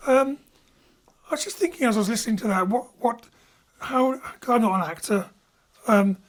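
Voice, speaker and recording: A woman's voice: on the high side, normal speed. A woman hosting a post-show interview as director, with reflective, rambling questions. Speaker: director and host.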